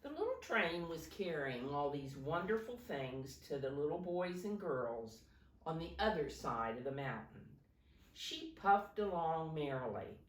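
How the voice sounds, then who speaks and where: A woman reads a children's storybook aloud at an unhurried pace, her pitch rather low but swinging expressively. She speaks simply and deliberately to young listeners, a little distant from the microphone in a roomy space. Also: very animated. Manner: simple, deliberate phrasing addressed to young children, with asides to show pictures. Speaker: teacher reading a picture book to children.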